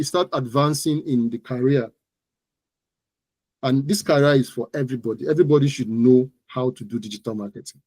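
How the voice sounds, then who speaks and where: A man's voice: mid-range voice, warm tone, dry recording. A man with a Nigerian accent, explaining things as an expert interview guest in an emphatic, instructive, conversational way, often repeating phrases.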